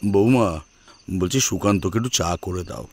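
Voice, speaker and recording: A man voicing a kindly older father-in-law in an audio story, soft, fatherly and reassuring. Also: low voice, normal speed, voice pushed down. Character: gentle, kindly older father-in-law guiding his daughter-in-law. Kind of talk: Bengali audio story acting. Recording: music behind the voice.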